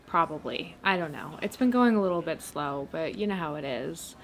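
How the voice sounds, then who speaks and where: A woman playing a supportive, gently teasing writer friend in a casual ASMR roleplay, speaking in a gentle, hesitant, encouraging way. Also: low voice, normal speed. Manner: hesitant, gentle, encouraging, chuckling softly between phrases. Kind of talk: ASMR roleplay, casual one-sided chat. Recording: clear steady background noise, ambience.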